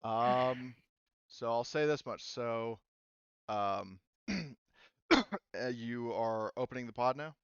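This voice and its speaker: Smooth-voiced rambling male game master. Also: medium pitch, slow, pauses often, no room sound, muffled recording. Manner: rambling, many fillers and restarts. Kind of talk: narrating a tabletop game.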